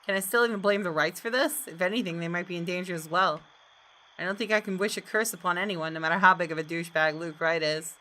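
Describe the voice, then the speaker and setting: A woman's voice: fairly low pitch, fairly even intonation, quick pace, bright, forceful tone. A woman hosting a gaming video, reading visual novel narration aloud with dramatic expression.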